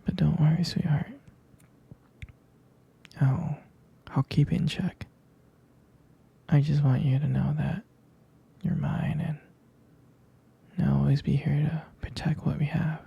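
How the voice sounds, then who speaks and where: A very dark, heavily creaky whisper from a man playing a possessive yet tender boyfriend in an ASMR roleplay. He talks slowly and haltingly, close and intimate, with a jealous edge under the affection. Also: on the high side, level tone, lo-fi sound, heavily acted. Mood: soothing, affectionate. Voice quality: whispery, breathy, husky. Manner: hesitant, intimate, halting delivery.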